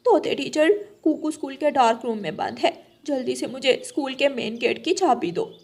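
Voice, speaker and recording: A woman's voice: sits high, normal speed, a little mellow. A woman voicing a sensible mother crow in a cartoon story, urgent and firm in manner.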